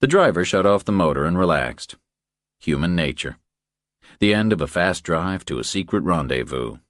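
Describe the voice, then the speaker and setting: A man's voice: low-pitched, big pitch swings, moderate pace, fairly bright tone, no echo, studio quality. A man reading a thriller audiobook as scripted narration.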